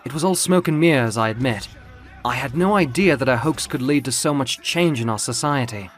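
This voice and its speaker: A man's voice: medium pitch, a little fast, soft background music, lightly acted. Troubled male muse for audio comic , acted by a narrator, a man: hesitant, sincere, confessional public address. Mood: uncertain, confessing. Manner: hesitant, earnest. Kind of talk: audio comic book drama.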